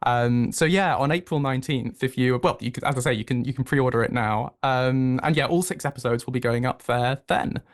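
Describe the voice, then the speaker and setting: A man's voice: medium pitch, a little fast, few pauses. A British man speaking enthusiastically and effusively as a guest in a conversational radio interview. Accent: British English. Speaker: interview guest, audio drama producer.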